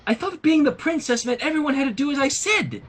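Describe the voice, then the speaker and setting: Man putting on Trixie, a high-voiced, self-important pony mare. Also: animated, quick pace, fairly bright tone. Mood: petulant. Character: Trixie, haughty naive female pony usurper.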